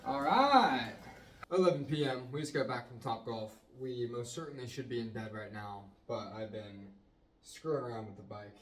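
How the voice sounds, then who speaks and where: A man's voice: mid-range voice, expressive, normal speed, a little hiss or hum. A young man vlogging his workouts, chatty and self-mocking, talking straight to viewers. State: congested, clearing throat. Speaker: fitness vlogger. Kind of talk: casual vlog narration.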